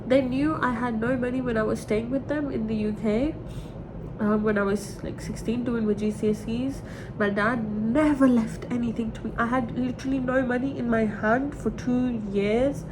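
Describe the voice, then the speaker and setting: High-voiced young woman, noisy rumbling recording. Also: steady tone, moderate pace. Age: 20s. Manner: candid, emotional, repeats herself for emphasis. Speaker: vlogger. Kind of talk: rambling confessional personal talk. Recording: clearly noisy with low rumble.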